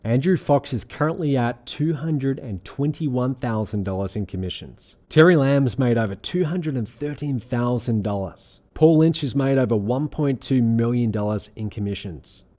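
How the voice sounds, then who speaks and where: A man reading a scripted sales pitch as presenter, in an earnest, measured, persuasive manner. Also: animated, mellow. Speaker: presenter of an online money-making sales pitch.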